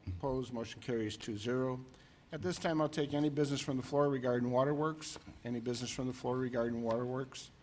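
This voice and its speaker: American man chairing a council meeting, mid-pitched. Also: fairly even intonation, quick pace, a little mellow, a little hiss or hum. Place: indoor town council meeting.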